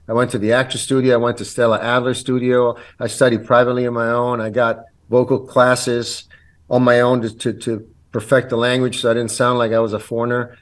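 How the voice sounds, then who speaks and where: An earnest man in his fifties whose first language is Italian. He talks through his story in a relaxed guest chat, pausing on ums and starting phrases over. His voice sits at an everyday middle pitch. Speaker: guest actor. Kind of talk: casual interview chat.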